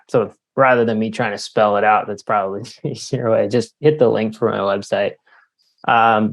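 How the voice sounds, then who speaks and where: A man's voice: on the low side, creaky. A man from Canada talking casually as an interviewed author, explaining things in a hesitant, wordy way.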